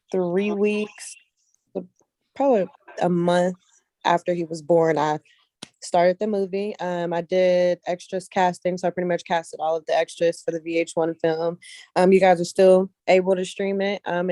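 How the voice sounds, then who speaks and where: Woman, low mellow voice, close dry sound, conversational interview guest, earnest, repeats key phrases. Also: dry recording. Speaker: guest casting director, actress and model.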